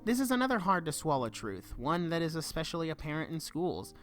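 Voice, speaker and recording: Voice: medium pitch, very animated, brisk, fairly bright tone. A young adult giving an earnest, reflective scripted narration for a video essay. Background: background music.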